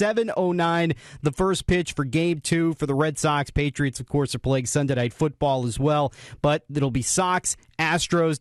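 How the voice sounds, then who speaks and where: A man's voice: a little high, no echo. A man hosting a post-game sports radio show from a studio.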